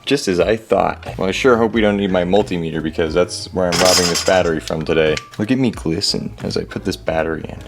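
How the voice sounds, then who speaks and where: A man with a low-pitched voice hosts a casual hands-on repair vlog in a garage. He is wry and jokey, often addressing the objects in front of him as he goes. Music plays clearly behind his commentary. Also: quick pace, few pauses. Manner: jokey, sarcastic, talks to the objects. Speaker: host of a hobby repair video. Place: home garage.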